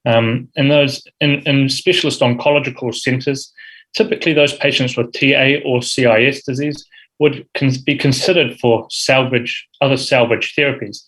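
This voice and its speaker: A man's voice: medium pitch, steady tone, moderate pace, a bit bright, slightly rough, rough recording. A man in his thirties with a New Zealand accent, giving an academic medical presentation with frequent ums and uhs. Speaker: urology fellow giving grand rounds talk.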